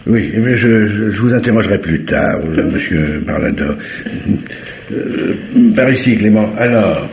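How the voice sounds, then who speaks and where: An actor playing a gruff, hesitant middle-aged police commissioner in an old radio drama, stammering and repeating himself. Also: male voice, fairly low pitch, lively intonation, a little slow, darkish tone, a little hiss or hum. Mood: polite, halting. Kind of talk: mid-century French radio drama acting. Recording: dull, roomy vintage radio recording.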